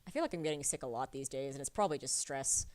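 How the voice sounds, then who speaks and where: Rambling woman with a low voice. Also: animated, fast, a little mellow. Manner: rambling, with many fillers and restarts. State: sniffly from a cold. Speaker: artist streaming a drawing session. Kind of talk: casual chat while drawing.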